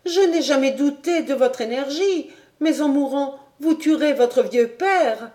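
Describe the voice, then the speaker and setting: A woman's voice: high-pitched, measured pace, mellow, pitched above the performer's own voice. A woman voicing an anxious young noblewoman who pleads urgently, in a storybook reading. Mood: pleading. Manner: urgent, imploring.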